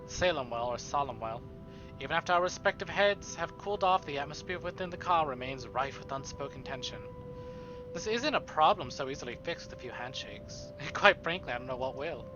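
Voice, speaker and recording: High-pitched man reading dramatically over music. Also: lively intonation, a little fast, lo-fi sound. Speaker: streamer. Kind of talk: reading visual novel narration aloud with casual asides. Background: game music.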